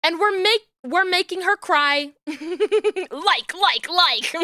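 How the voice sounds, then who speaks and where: Young New Jersey woman streamer, bright and high, mutters as she works and squeals her greetings. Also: expressive, extremely slow, no echo, clean studio sound. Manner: soft murmuring while working, bursting into loud squealing greetings. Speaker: VTuber streamer rigging a model. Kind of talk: casual live-stream talk while working.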